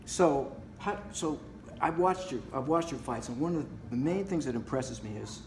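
A man hosting a casual interview, putting questions haltingly and rephrasing them as he goes. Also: medium pitch, expressive. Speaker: interviewer and host. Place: martial arts gym with mats, indoors. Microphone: camera a few feet away. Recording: echoey room.

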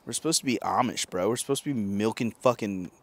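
A young man in his thirties hosting a casual comedic podcast on a backyard patio, holding a handheld mic, animated and profane, bursting into silly mock singing. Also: lowish voice, fast talker. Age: young adult. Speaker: podcast host.

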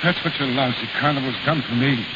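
An actor playing a furious, vengeful carnival barker in a 1930s radio drama, menacing and accusing. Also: male voice, mid-range voice, fast, natural voice. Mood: bitter. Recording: hissy, muffled 1930s radio recording.

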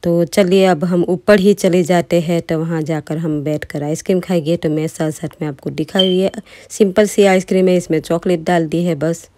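A woman's voice: lowish voice, steady tone, normal speed, noisy background. A young adult woman narrating a casual vlog in a chatty, explanatory way, talking directly to her viewers. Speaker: vlogger. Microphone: handheld phone.

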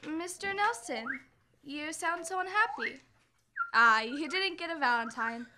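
Young woman as a very high-pitched, dreamy gushing girl. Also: lively intonation, unhurried, noisy background. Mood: sweet, sympathetic. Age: teens to young adult. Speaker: student actor. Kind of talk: old-time radio comedy acting. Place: school library room, staged performance. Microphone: stand microphone on stage.

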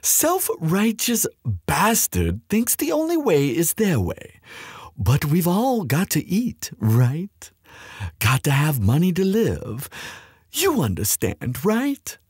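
A woman playing a smug, cruel mercenary kidnapper in an audio roleplay, mocking and taunting in a breathy, husky voice. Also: on the low side, huge pitch swings, slow, a little mellow, no room sound, clean studio sound, exaggerated voice. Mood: scornful. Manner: mocking, drawling.

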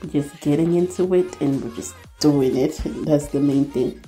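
A woman's voice: low voice, normal speed. A young woman vlogger chatting casually in a voice-over, easygoing and laughing often. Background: soft background music.

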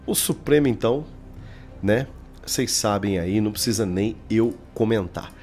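A man's voice: on the low side, moderate pace, a little mellow, faint music behind. A man in his thirties with a Brazilian Portuguese accent, giving informal, emphatic political commentary straight to his viewers. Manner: colloquial, emphatic, addressing viewers directly. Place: small indoor room, home studio. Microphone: desk microphone.

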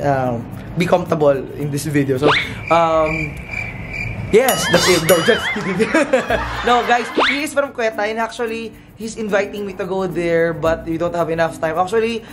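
A young Filipino man with a Filipino accent, chatting as a travel vlogger at arm's length, giggly and mixing Tagalog with English. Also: sits high. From Philippines. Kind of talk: casual vlog chat. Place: hotel room indoors, later street outdoors. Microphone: handheld camera at arm's length. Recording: music playing loud behind.

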